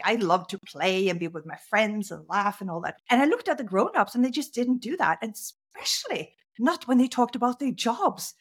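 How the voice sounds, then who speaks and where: A middle-aged woman from Norway, a guest in an interview, telling her story in a conversational way and lifting her pitch sharply on key words. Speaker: guest speaker.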